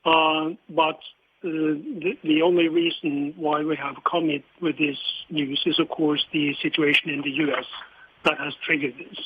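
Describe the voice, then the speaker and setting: Higher-voiced male executive on a noisy phone line. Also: little pitch movement, moderate pace. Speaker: company executive answering analysts. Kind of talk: earnings call answer. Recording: phone-like line with faint noise.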